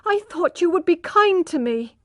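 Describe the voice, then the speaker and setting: A woman reading a storybook aloud, voicing a proud young country girl who sounds high and pleading. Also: sing-song, slow pace, slightly rough, dry recording, clean studio sound, heavily acted. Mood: desperate. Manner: high, pleading, then firm.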